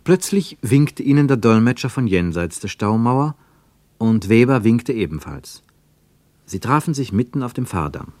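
A man's voice: mid-range voice, lively intonation, normal speed, a little mellow, no room sound. A man narrating a literary radio drama from a script.

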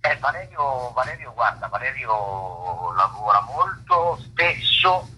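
A man giving unscripted interview answers as an actor, haltingly searching for words and rephrasing himself. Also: mid-range voice, big pitch swings, sharp tone, slight background noise, rough recording. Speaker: stage actor, interview guest.

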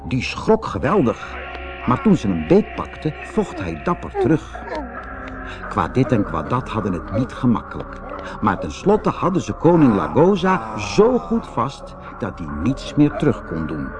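A man narrating a children's fairy-tale audiobook in an expressive, dramatic way. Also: mid-range voice, unhurried, over music. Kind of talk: scripted children's audiobook storytelling.

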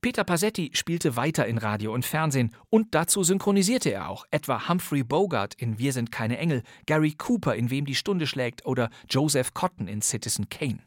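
An enthusiastic middle-aged man presents old radio crime dramas in a scripted, knowing podcast narration. His voice is mid-pitched and sits close to the mic. The studio sound is dry and dead. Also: big pitch swings, a little fast, few pauses, dry recording. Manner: enthusiastic, knowledgeable storyteller.

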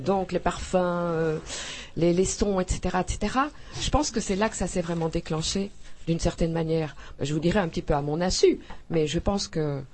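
A woman's voice: on the low side, a little mellow, slight background noise. A woman author from Belgium in a radio interview, talking effusively with frequent hesitations, restarts and laughter.

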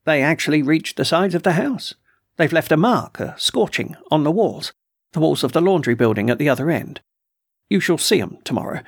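A man reading aloud and voicing an excitable, blustering military colonel with emphatic outbursts. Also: a little high, normal speed, husky, dry recording, studio quality. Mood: agitated. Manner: agitated, emphatic, snorting outbursts. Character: blustering excitable middle-aged male colonel.

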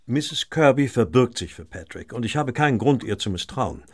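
An actor playing an agitated, well-to-do married gentleman in a German radio drama, speaking formally and fretfully. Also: mid-range voice, animated, normal speed. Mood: tense, defensive.

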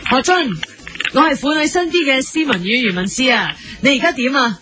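A woman's voice: sits high, big pitch swings, fairly quick, sharp tone, faint music behind, exaggerated voice. A woman playing an earnest, patient TV presenter in a comedy parody, questioning politely and persistently in Hong Kong Cantonese.

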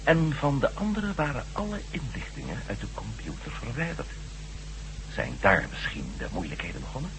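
A man's voice: mid-range voice, huge pitch swings, normal speed. Male ex-astronaut reporter for radio play. Mid-range voice, very varied intonation, inquisitive and polite. Faintly noisy recording. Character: inquisitive ex-astronaut newspaper reporter, quick to deduce. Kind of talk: Dutch radio drama acting. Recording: faint hum and noise, old radio recording.

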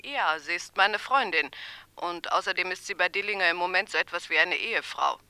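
An actress playing a wary, money-minded landlady in a German radio crime drama, indignant and deliberate in her delivery. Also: on the low side, sharp tone, clear, resonant tone. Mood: conspiratorial. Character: defiant, wary, money-minded female boarding house landlady.

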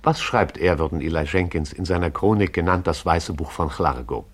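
An actor playing the lyrical storyteller of a radio play, addressing the listener intimately in an incantatory, poetic way. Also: male voice, low voice, moderate pace, soft background music. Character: hushed, lyrical omniscient storyteller of a sleeping town. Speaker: narrator.

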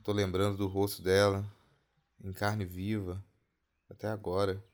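A man's voice: deep voice, flat delivery, a little slow, darkish tone. A man with a Brazilian accent playing a weary, rattled man in a naturalistic horror audio drama. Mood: tired, casual.

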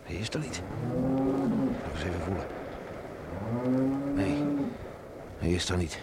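An actor playing a gruff, stubborn middle-aged island beachcomber and father in a Dutch radio play, muttering to himself and calling out. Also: low-pitched, expressive, unhurried. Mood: brooding, muttering. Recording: noisy old radio play with storm ambience.

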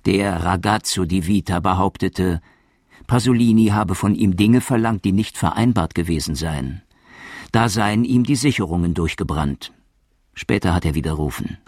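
Deep, husky male narrator, dry sound. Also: moderate pace, no echo. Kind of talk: scripted documentary narration.

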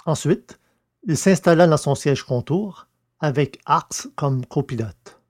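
A man reading scripted narration for a science-fiction audio serial in a measured, literary way. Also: fairly high pitch.

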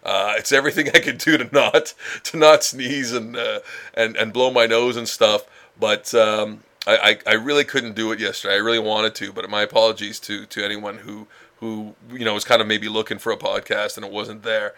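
A man's voice: lowish voice, level tone, normal speed, sharp tone. A man hosting a casual solo podcast, rambling with many fillers and restarts, a little stuffed up from a head cold. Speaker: podcast host.

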